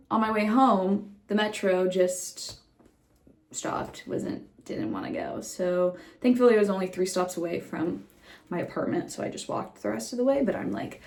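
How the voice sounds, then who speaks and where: Low gravelly-voiced young woman vlogging. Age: young adult. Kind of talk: casual vlog chat.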